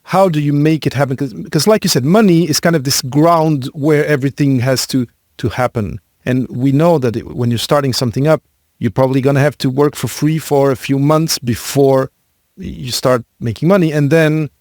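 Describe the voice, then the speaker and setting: A man's voice: mid-range voice, moderate pace, darkish tone, no room sound, clean studio sound. A man hosting an interview podcast, asking long questions while searching for words and rephrasing, with many fillers.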